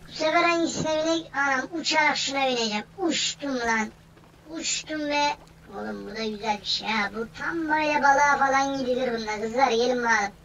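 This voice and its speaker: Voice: high voice, a little fast, sharp tone, recorded from a distance, slight background noise, poor audio. A gaming host giving casual, playful commentary in slangy banter, often repeating phrases over and over. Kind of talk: casual gameplay commentary, playful role-play.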